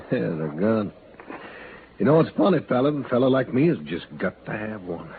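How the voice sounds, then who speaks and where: An American actor playing a rugged, stubborn outlaw in a 1950s western radio drama, with a folksy, plainspoken rural drawl. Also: male voice, lowish voice, very animated, moderate pace. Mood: proud, easy. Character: rugged male outlaw, proud new father, stubborn and vengeful. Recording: muffled, hissy old radio recording.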